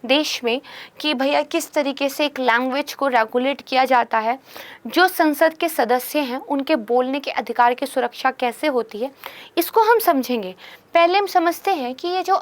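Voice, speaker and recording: A young woman teaching an exam-prep lecture, a little hoarse, explaining steadily and checking in with "right?" and "theek hai". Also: high-pitched, moderate pace. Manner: explanatory, peppers speech with English terms and "theek hai". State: hoarse from a sore throat. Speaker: current affairs teacher.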